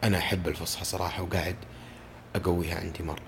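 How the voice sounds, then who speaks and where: Fast-talking deep-voiced man. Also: flat delivery, slight background noise. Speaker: voice-over artist, podcast guest. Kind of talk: casual conversation.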